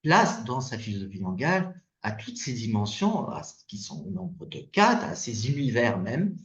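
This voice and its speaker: A man from Tunisia who wrote the book, discussing philosophy in an animated way while searching for his words. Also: mid-range voice, moderate pace, gravelly. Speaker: philosophy author leading the discussion. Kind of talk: academic discussion. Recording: echoey, dull lo-fi sound.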